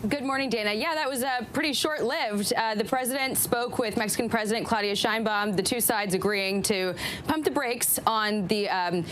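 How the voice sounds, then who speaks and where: A woman's voice: a little high, normal speed, a bit bright, slight background noise. A woman news correspondent giving a live broadcast report.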